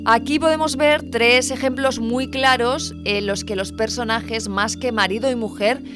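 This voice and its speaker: A woman with a fairly high voice narrates over clearly audible music. She reads a light, scripted list in a playful, wry way, often framing things as rhetorical questions. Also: fairly even intonation, a little slow. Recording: music behind the voice.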